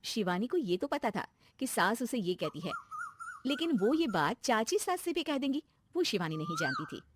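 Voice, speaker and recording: A woman narrates a domestic tale in a scripted storytelling style. Her voice sits a bit high and moves with lively intonation. There is faint noise in the recording. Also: normal speed.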